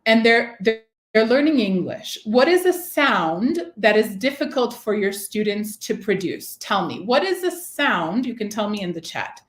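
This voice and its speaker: Woman presenting a teacher webinar. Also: medium pitch. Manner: engaging, asks the audience questions, frequent "right?" check-ins. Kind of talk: live online teaching presentation. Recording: roomy, echoey webinar audio.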